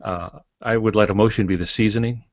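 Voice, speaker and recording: A man's voice: on the low side, steady tone, a little fast, a little mellow, no room sound. An older man talking casually on a podcast as a co-host, giving writing advice in a folksy way with homespun metaphors. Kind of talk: casual advisory podcast talk. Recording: dull, lo-fi, dry podcast audio.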